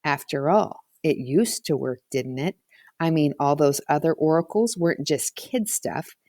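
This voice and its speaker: A woman's voice: low voice, a little slow, darkish tone, no echo, studio quality, voice pushed down. A woman voicing a confident, bossy boy with a slangy, theatrical way of talking in a storybook. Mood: mysterious. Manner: casual slangy persuasion, theatrical when chanting. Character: bossy, confident boy playing a solemn high priest. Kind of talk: children's storybook character reading.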